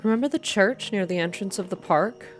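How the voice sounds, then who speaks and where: A woman's voice: mid-range voice, fairly quick, faint music behind, voice pushed up, close to an everyday voice. A woman voicing an earnest, restless young woman activist in a story reading. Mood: eager.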